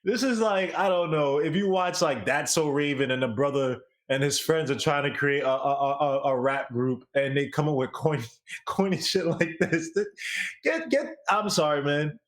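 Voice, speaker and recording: An American man with a slightly high voice talks music as a show co-host. His chat is casual and opinionated. He is animated and slangy, and swears freely. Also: moderate pace.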